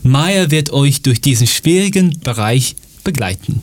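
Mid-pitched man hosting radio. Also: expressive, measured pace. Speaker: radio show host. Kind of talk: radio presenting, partly improvised.

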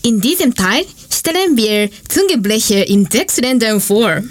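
Japanese lady hosting a radio bit, highish voice, japanese accent. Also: sing-song, a little slow. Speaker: radio segment presenter. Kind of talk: scripted radio presenting in non-native German.

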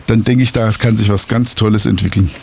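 Male community radio host, gravelly, chatty, casual studio banter. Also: fairly low pitch, fairly quick, a little hiss or hum. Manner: relaxed, chatty, adds asides and jokes.

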